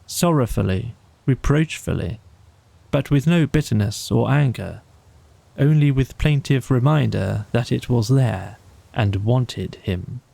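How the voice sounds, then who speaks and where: Slow, mellow, gravelly male storyteller. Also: medium pitch, dry recording. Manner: measured, soothing, deliberate phrasing. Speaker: audiobook narrator. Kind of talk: slow bedtime storybook narration.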